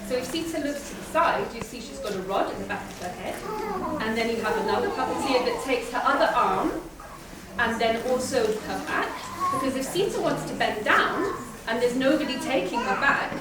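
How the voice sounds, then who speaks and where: A woman's voice: fairly high pitch, lively intonation, fairly quick. A woman puppeteer informally explaining and demonstrating her craft to people at a live event. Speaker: puppeteer, presenter. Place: large echoey indoor museum space. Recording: very echoey, distant, noisy crowded venue. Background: loud crowd and ambient noise.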